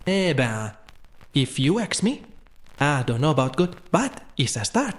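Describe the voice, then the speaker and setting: A man's voice: fairly high pitch, big pitch swings, a little slow. A man playing a skinny, skeptical local in a radio drama. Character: tall skinny skeptical Cajun male market patron.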